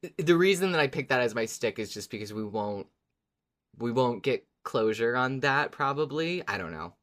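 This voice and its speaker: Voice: medium pitch, animated, normal speed, a bit bright. A podcast co-host in casual discussion, informal and agreeable, thinking aloud while talking.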